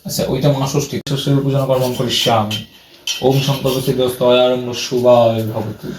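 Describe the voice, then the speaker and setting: A man's voice: medium pitch, flat delivery, fairly quick, a little mellow, a little hiss or hum. A man, a priest, leading worship by chanting ritual Sanskrit in short phrases to be repeated. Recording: echoey, distant from mic, faint music.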